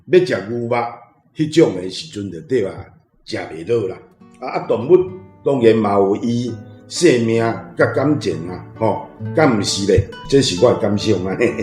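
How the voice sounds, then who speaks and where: A man's voice: medium pitch, a little mellow. A man with a Taiwanese accent sharing his thoughts on a story in a colloquial way, as a panel guest. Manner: colloquial, frequent tag particles. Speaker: panel guest, reading club member. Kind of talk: informal commentary on a story. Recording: roomy, faint music behind. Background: faint guitar music.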